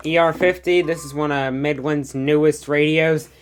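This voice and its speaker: A woman's voice: low voice, fairly even intonation, normal speed, a loud crowd. A woman giving a casual, sweary show-and-tell as host of a collection video, blunt and easily irritated.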